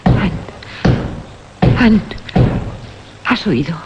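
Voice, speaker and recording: A woman's voice: medium pitch, animated, very slow. A woman playing a cautious, fearful young student in a radio drama, tense and pleading. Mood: uneasy. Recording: dull, slightly noisy radio drama recording.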